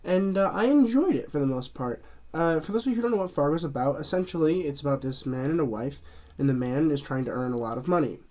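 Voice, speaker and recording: A man's voice: fairly high pitch, animated, fast talker, darkish tone. Stuffy-nosed man chatting through a review. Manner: chatty and rambling, with many fillers and restarts. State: stuffy, a bit nasal from a cold. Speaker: movie reviewer, channel host. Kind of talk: casual review vlog.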